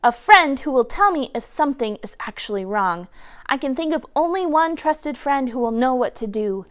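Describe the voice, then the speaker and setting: A woman's voice: sits high, lively intonation, normal speed, a bit bright. A woman voicing an anxious, socially awkward male bear in a storybook, rambling through flustered small talk and questions. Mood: nervously chatty.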